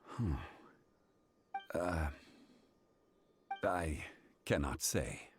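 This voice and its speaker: An actor playing an ancient, composed god in human guise, speaking formally and gravely in a video game. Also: male voice, rumbling low, lively intonation, drawn-out words, slight background noise, full character voice. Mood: regretful, composed. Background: game music.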